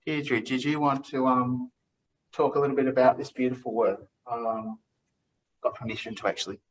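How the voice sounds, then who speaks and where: A man in the role of gallery director hosts a relaxed artist talk. He sounds easygoing and encouraging, and his speech is full of fillers. His voice sits at a mid pitch, and a faint background noise runs underneath it. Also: normal speed, poor audio. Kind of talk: relaxed interview conversation.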